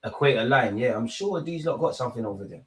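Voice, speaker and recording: A young man with a London accent hosting a football watch-along, chatting casually and excitably in slang with his viewers. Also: medium pitch, quick pace, breathy edge. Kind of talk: casual live reaction commentary and chat with viewers.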